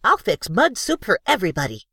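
A man voicing a brisk, bossy little female gnome with a high, squeaky put-on voice. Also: wildly swooping pitch, vocal fry, bright, forceful tone, dry recording, clean studio sound, full character voice. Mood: firm. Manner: firm, bustling.

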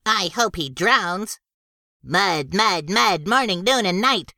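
A man's voice: sits high, animated, slow pace, creaky, sharp tone, no echo, clean studio sound, pitched above the performer's own voice, heavily acted. A man voicing a small, put-upon male gnome servant who complains plaintively in an audiobook. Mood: plaintive grumbling. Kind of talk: audiobook character voice.

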